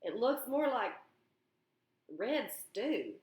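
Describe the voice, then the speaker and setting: A woman's voice: on the high side, big pitch swings, very slow, darkish tone, reverberant, full character voice. A woman voicing a kindly older man who teases a boy, telling his worries with easy humor. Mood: teasing. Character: older male driver, kindly teasing man.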